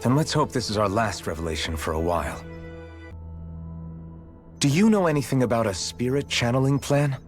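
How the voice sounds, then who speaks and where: A man voicing a steady, earnest hero in a video game, speaking in a measured, serious way. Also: medium pitch, soft background music, natural voice. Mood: serious, resolute. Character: steady, earnest young male hero leading a party. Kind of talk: scripted video game voice acting.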